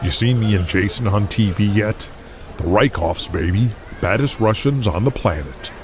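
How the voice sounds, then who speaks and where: An actor playing brash, excitable young pro wrestlers trading slangy, sweary banter in an audio drama. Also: male voice, fairly low pitch, moderate pace, lightly acted. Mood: excited bragging.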